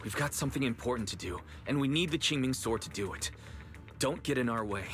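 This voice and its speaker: An actor playing a steady, protective young male hero in a video game, speaking reassuringly. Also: mid-range voice, fairly quick, soft background music, natural voice. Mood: determined.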